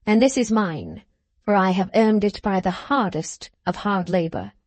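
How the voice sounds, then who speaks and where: A woman voicing a quiet, dignified young man who answers with restraint in a storybook reading. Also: mid-range voice, measured pace, no room sound. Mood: restrained. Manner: calm, restrained.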